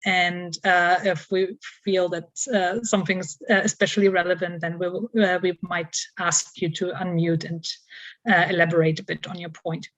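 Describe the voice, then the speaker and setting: A woman moderating an online panel, speaking haltingly with many fillers. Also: on the low side, flat delivery, fairly bright tone, muffled recording.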